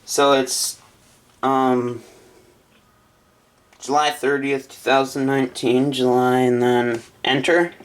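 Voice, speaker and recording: A man chatting casually and haltingly as he unboxes a gadget for a video, partly talking to himself. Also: medium pitch, fairly even intonation. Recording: clear background noise, crinkling and clicks. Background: handling noise, crumpling and clicks.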